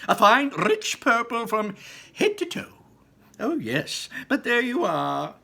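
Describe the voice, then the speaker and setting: Mr Wonka, a man doing a shrill frantic candy maker. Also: huge pitch swings, gravelly, pushed and bright, full character voice. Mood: excited. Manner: shrill, rushing, exclaiming. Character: manic eccentric male candy maker, impatient. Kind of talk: storybook read-aloud.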